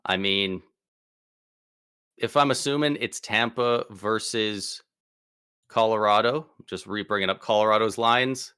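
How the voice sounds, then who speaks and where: A man co-hosting a casual sports podcast, excitable and slangy, speaking with emphatic bursts. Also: lowish voice, a little slow, pauses often, clear, resonant tone, no echo, clean studio sound. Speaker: podcast co-host.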